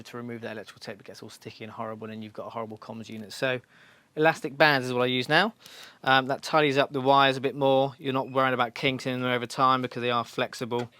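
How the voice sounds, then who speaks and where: A man's voice: medium pitch, pushed and bright, dry recording. A man giving an informal, practical step-by-step demonstration in a reassuring, instructional way. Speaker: motorcycle shop staff giving a fitting tutorial.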